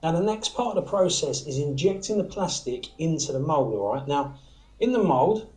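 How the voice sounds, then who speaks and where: A man's voice: on the high side, sing-song, moderate pace, darkish tone. A British man giving a casual hands-on workshop demonstration, informal and often checking in with the viewer as he explains each step. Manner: informal, often checks in with "all right?" and "okay?". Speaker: antenna maker demonstrating his workshop process. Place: small workshop, indoors.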